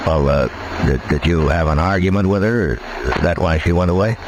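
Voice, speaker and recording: An actor playing a grave, steady frontier marshal who questions in a measured, hesitant way, in old radio western style. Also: male voice, low-pitched, close to an everyday voice. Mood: concerned, probing. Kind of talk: 1950s radio western acting. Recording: noisy old 1950s radio recording.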